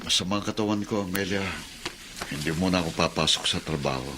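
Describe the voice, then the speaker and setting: An actor in a Filipino radio drama playing a weary, patient middle-aged uncle and husband, with a placating manner. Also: male voice, low voice, fast talker, sharp tone, slight background noise. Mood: weary, unwell.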